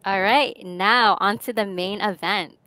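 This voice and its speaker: A woman hosts an online user group meeting over a video call. She reads upbeat announcements at a medium pitch for a woman. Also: unhurried, bright, forceful tone.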